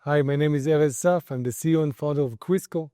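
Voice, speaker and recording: A man's voice: on the high side, fast, mellow, no room sound, clean studio sound. A middle-aged man with an Israeli accent, giving explanatory answers as an expert in an interview. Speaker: company founder and credit expert interviewee.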